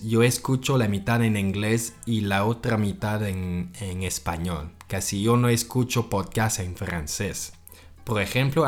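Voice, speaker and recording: Slow, low-voiced man hosting a podcast. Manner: slow, self-correcting, often adds a questioning "no?". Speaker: podcast co-host. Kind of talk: casual podcast monologue for Spanish learners.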